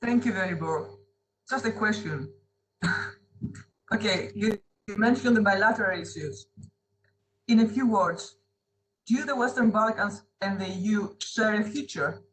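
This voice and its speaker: A moderator guides the panel with brief questions and hand-offs. He pauses on fillers now and then. A faint noise runs under his voice. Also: sits high, a bit bright, poor audio.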